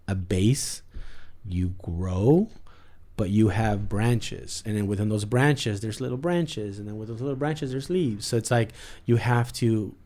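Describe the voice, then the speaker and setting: Mellow low-voiced man musing aloud. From San Jose area, US. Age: 40s. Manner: rambling, lots of "like" and "you know what I mean". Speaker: guest artist. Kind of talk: casual reflective conversation.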